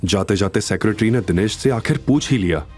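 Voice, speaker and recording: A man's voice: on the low side, brisk, a little mellow, breathy edge. A man narrating a scripted audio drama in a dramatic storytelling style. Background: background music.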